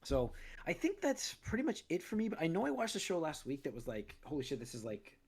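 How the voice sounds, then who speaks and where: A man hosting a movie podcast in casual chat, a little raspy as he gets over a cold, rambling with lots of restarts and fillers. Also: fairly high pitch, huge pitch swings, a little fast. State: recovering from a cold, slightly raspy. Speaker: podcast host.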